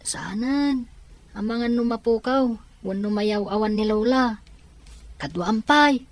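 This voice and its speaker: A woman's voice: a little high, unhurried, no room sound, lightly acted. A woman playing a protective, cautious young boy, an older brother in an audio drama. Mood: worried. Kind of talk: amateur audio drama acting.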